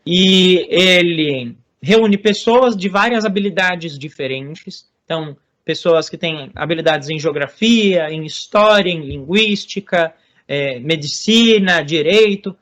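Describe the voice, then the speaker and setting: A man with a Brazilian Portuguese accent, explaining history as an interview guest, measured with many hesitations and restarts. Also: fairly high pitch, fairly bright tone. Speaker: author and guest on Norse religion.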